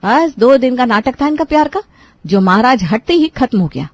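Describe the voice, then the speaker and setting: An overworked young daughter-in-law in an animated family tale, acted by a woman storyteller. She speaks higher, with a resentful, complaining edge and a defensive manner. The sound is dry and close. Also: moderate pace, dry recording. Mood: exasperated. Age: young adult. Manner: complaining, exasperated. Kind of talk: Hindi cartoon story dialogue.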